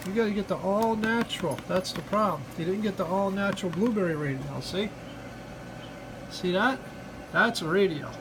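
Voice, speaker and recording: A man's voice: sits high, normal speed. A man giving a casual, joking product demonstration, talking in folksy slang and repeating himself with mock earnestness. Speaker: hobbyist video presenter. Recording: noisy recording with steady background hum.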